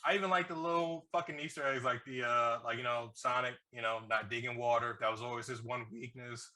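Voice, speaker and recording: A man co-hosting a casual movie review, talking in an animated, slangy way with plenty of swearing. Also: medium pitch, a little fast, crowd noise. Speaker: podcast co-host reviewing films.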